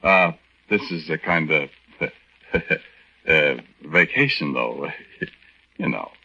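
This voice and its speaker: A man's voice: rumbling low, expressive, slow, bright, forceful tone, husky, heavily acted. An actor playing a henpecked young American army private, telling his story in a hesitant, folksy, rambling way in 1940s radio style. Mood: sheepish, evasive. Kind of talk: 1940s radio acting, conversational first-person narration. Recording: dull lo-fi 1940s radio recording.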